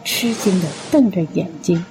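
一位女性旁白，照着稿子讲述广播剧故事。. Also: female voice, lowish voice, normal speed, vocal fry, darkish tone, faint music behind, low-quality recording. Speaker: narrator. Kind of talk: scripted narration of an audio drama.

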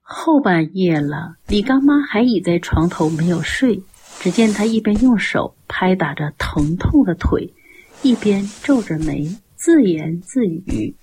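A woman's voice: mid-range voice, lively intonation, a little slow, mellow, slight background noise. A woman narrating a radio drama from a script as its storyteller.

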